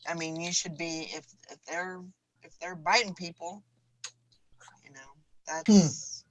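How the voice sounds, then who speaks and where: A woman hosting a casual group chat show, chatty and relaxed, her voice a little hoarse. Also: on the low side, slow pace, rough recording. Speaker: show host.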